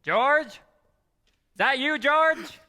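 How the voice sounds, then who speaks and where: Man acting Bert, a bright-voiced small-town cop. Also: extremely high pitch, expressive, drawn-out words, full character voice. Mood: concerned. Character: gruff but friendly small-town policeman, Bert. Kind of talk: old-time radio play acting. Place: live stage in a hall. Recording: echoey hall, live stage taping.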